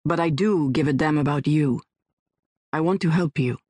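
A woman narrator voicing a tough, sardonic male rescuer who speaks in a blunt, gruff, curt way. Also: fairly high pitch, moderate pace, darkish tone, no room sound, natural voice.

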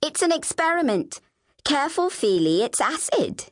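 A woman's voice: high-pitched, very animated, moderate pace, pitched above the performer's own voice. A woman voicing a precocious, indignant eleven-year-old girl in an audiobook, with precise, haughty, wordy speech. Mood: warning. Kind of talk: audiobook character dialogue.

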